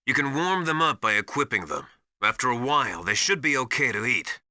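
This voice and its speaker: Video game military advisor: male, authoritative teacher type, piercingly bright, dry close sound, urgent and emphatic. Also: mid-range voice, sing-song, a little fast, no room sound. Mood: grave, cautioning. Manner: didactic, urgent, emphatic instruction. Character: knowledgeable, commanding male military survival mentor called Master. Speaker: radio support advisor.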